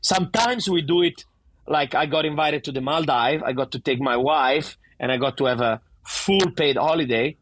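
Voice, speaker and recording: A man's voice: on the high side, moderate pace, a bit bright, a little hiss or hum, rough recording. A middle-aged man from Italy with a thick Italian accent, a bar owner talking animatedly and emphatically in a casual interview, often restarting his sentences.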